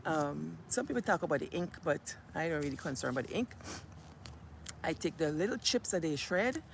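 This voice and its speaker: A woman's voice: low-pitched, expressive, brisk, creaky, a little hiss or hum. A middle-aged woman with a Guyanese accent chats casually and reflectively to viewers as a vlog host, telling stories in a conversational way. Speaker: vlogger and host. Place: outdoors near home in a village.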